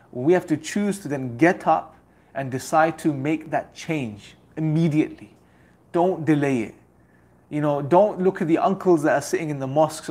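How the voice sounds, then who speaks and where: A young man gives a motivational religious talk. His voice sits a little higher than most men's. He speaks earnestly and exhorts his listeners, building his points through rhetorical repetition. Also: darkish tone.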